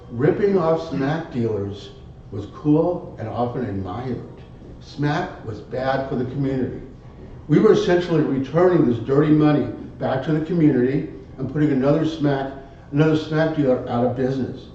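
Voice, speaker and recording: A man telling a tall tale live as a contest speech, theatrically, in short halting phrases. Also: a little mellow. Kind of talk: live dramatic storytelling speech. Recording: very echoey room, distant muffled mic, rumble.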